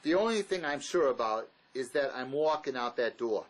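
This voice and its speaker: A man's voice: mid-range voice, animated, normal speed, close to an everyday voice, low-quality recording. A man acting a bitter, blunt fugitive drifter in a dramatic script reading, sarcastic and cutting. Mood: blunt, impatient.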